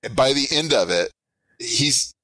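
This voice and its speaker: Loud-voiced man, bright and forceful. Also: medium pitch, a little slow, slight background noise. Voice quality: big projecting voice. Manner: rambling, self-interrupting, animated. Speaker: game designer guest. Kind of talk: podcast interview.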